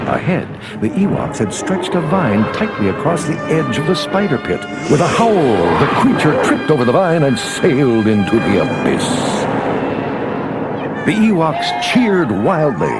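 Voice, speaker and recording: A man's voice: a little high, wildly swooping pitch, unhurried. A man reading a scripted children's adventure story as a dramatic narrator, over music.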